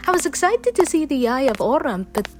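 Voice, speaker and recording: A woman playing a curious, eager young travelling companion in a fan audio drama, inquisitive and expressive. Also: sits high, brisk. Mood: awed, excited. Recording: voice over loud music and effects.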